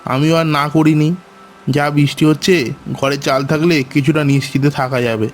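A man voicing a gentle, worried poor village father in a cartoon. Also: on the high side, steady tone, normal speed, slight background noise, close to an everyday voice. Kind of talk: cartoon voice acting.

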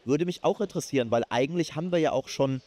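A man's voice: fairly high pitch, fast talker, dark tone. A man co-hosting a live games podcast panel, talking casually with many fillers and restarts. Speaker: podcast co-host. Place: live event stage.

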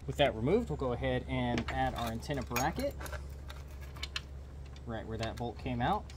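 A man's voice: medium pitch, lively intonation, moderate pace. A young American man giving instructional how-to narration as host of a DIY car channel. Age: young adult. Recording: clear background noise, clicks and jangling.

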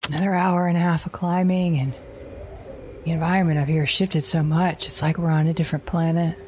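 A woman's voice: deep voice, level tone, fairly quick, a little mellow, slight background noise, lightly acted. An actress playing an anxious but defiant mother surviving a wild world in an audio drama monologue, fretful and questioning herself in broken phrases. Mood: weary, wondering. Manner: fretful, self-questioning, fragmented, repeating herself. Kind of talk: audio drama monologue, recorded-journal narration.

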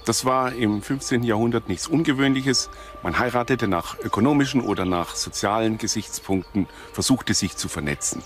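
A man speaking as an expert in a documentary interview, explaining deliberately phrase by phrase. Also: on the low side, soft background music.

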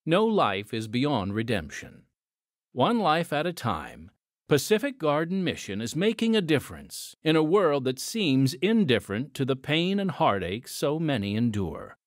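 A man's voice: a little high, very animated, unhurried, dry recording, studio quality. A man reading a scripted message as a radio announcer.

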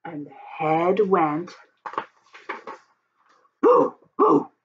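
A middle-aged woman reading a storybook aloud to children, with dramatic emphasis and deliberate pauses. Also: fairly low pitch, huge pitch swings, dull audio. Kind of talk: expressive picture-book read-aloud. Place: home office, indoors.

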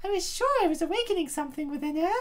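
A gentle princess mother played by a woman tells her story in a comic dub. Her voice is extremely high, and she narrates tenderly, as if from a storybook. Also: animated, very fast. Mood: soft, fond.

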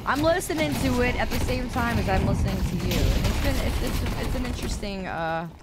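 A woman's voice: a little high, expressive, fairly quick, loud music behind the voice. A young adult woman with a Midwestern American accent, chatting playfully while streaming a game, often repeating phrases in a sing-song way. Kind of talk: casual gameplay streaming chat. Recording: loud game noise underneath.

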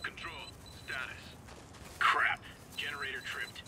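Guy as curt game guard, average pitch, clipped reports, noisy ambience. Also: a little slow, natural voice. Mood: businesslike. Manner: clipped radio-call phrasing. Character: curt businesslike male mercenary guard. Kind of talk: game voice acting.

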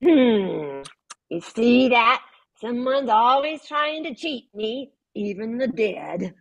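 A woman's voice: a little high, expressive, takes it slowly, creaky, dull audio, heavily acted. A woman voicing a grasping, suspicious older woman who complains that everyone cheats her, read over a video call. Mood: indignant. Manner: complaining, aggrieved. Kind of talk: Zoom play reading.

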